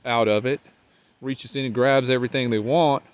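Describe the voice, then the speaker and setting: A man with a congested, nasal voice, talking casually to the camera outdoors as a van life vlogger, rambling and trailing off quietly. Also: mid-range voice, fairly even intonation, normal speed, smooth. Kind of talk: casual vlog talk while walking. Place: outdoors by a riverbank park.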